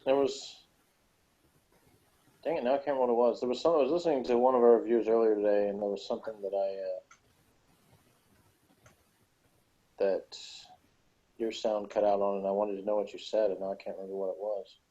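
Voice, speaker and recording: A man's voice: lowish voice, level tone, a little fast, pauses often, dark tone. A man chatting casually as a podcast reviewer, with a dry, teasing manner. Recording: dull lo-fi voice recording.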